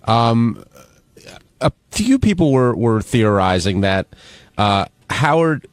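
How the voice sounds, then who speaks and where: A man's voice: medium pitch, big pitch swings, slow, dry recording. A man hosting a radio talk show, moderating the discussion and searching for his words as he goes. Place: radio studio. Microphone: close studio microphone.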